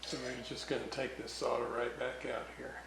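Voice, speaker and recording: A man's voice: mid-range voice, level tone, a little fast, gravelly. A man casually narrating hands-on repair work as a hobbyist, thinking aloud as he goes in an indoor workshop. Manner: unscripted, thinking aloud while working. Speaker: radio repair hobbyist and video host. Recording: roomy echo, noticeable background noise.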